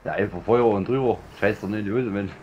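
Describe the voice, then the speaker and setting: Deep fast-talking German voice-chat buddy. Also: male voice. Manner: teasing, brisk and matter-of-fact. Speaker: co-player on voice chat. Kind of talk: casual gaming chat. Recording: dull voice-chat audio with faint noise.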